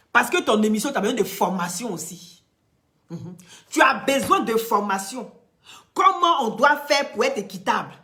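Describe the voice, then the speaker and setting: A woman with an Ivorian French accent speaks straight to her viewers in emphatic, sermon-like commentary. Her voice sits at an ordinary middle pitch. She climbs to loud, insistent peaks, then drops to hushed, breathy asides. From Ivory Coast. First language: Dioula or another Ivorian language. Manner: emphatic and preachy, loud rising peaks that drop to quiet near-whispered asides. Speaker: social media commentator. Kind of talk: impassioned direct-to-camera commentary.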